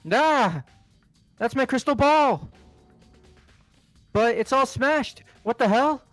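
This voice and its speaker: A man's voice: very high-pitched, animated, slow, fairly bright tone, no echo, soft background music, pitched above the performer's own voice, heavily acted. A man voicing a panicky, flustered young male game character who stammers and repeats himself. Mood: alarmed, indignant. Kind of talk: game character voice acting in a playthrough.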